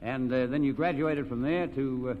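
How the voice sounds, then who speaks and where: A man's voice: mid-range voice, normal speed. A man hosting an old-time radio quiz, jovial and teasing, often repeating answers back to his contestants. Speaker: quiz show host. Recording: dull, noisy old radio recording, hall reverb.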